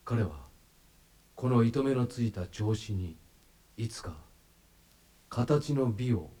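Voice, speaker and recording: A man's voice: mid-range voice, animated, a little slow, warm tone. A native Japanese man performing reflective literary lines in a scripted radio drama. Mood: contemplative. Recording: clearly noisy background.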